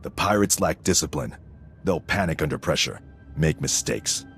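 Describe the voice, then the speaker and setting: An actor playing a stoic, hardened sergeant in a video game, clipped, formal and matter-of-fact. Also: male voice, a bass voice, lively intonation, normal speed, vocal fry, fairly bright tone, full character voice. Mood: grimly confident. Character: stoic, hardened military sergeant, loyal ground soldier. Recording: music behind the voice.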